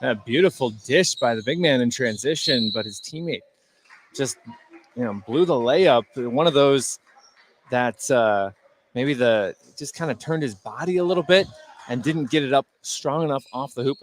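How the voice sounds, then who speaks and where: Regular pitched guy doing color commentary for hoops on radio, kinda halting, chatty, thinking it through as he goes. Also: slight background noise. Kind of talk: unscripted radio sports analysis.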